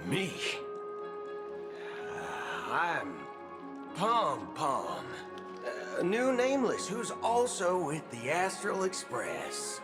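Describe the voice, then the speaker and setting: A playful, shifty man in a game cutscene, with a slightly high voice that swings widely in pitch. He speaks very slowly and haltingly, over music. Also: huge pitch swings, heavily acted. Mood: bluffing.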